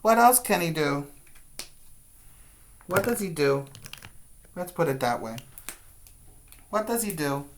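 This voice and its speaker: A man's voice: on the high side, animated, takes it slowly, long pauses. A man teaching preschoolers, speaking in an instructive, question-and-answer way as he reads aloud and guides young children.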